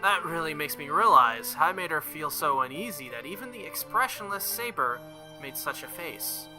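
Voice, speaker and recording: A man's voice: on the high side, lively intonation, moderate pace. A man hosting a gaming playthrough, reading visual novel text aloud and adding casual commentary, with game music behind him. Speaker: gaming channel host.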